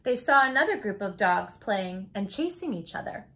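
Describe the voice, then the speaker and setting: A woman's voice: mid-range voice, very animated, normal speed, fairly bright tone. A woman hosting a children's read-aloud, narrating a storybook expressively and putting questions to her young listeners.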